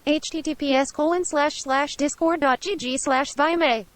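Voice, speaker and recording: High, dry female chat-reading voice. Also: steady tone, moderate pace, dry recording. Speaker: chat message reader. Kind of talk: text-to-speech reading of stream chat.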